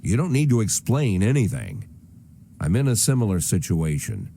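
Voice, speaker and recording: An actor playing a sardonic, aging American police chief with a gruff, dry delivery in a video game. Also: male voice, lowish voice, very animated, normal speed, warm tone, a little hiss or hum. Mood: weary, businesslike. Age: older. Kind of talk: naturalistic video game voice acting.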